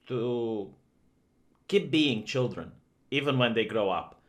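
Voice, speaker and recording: Bright-voiced commentator man. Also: mid-range voice. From Romania. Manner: conversational and opinionated, many fillers. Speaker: video commentator. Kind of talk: opinionated commentary vlog.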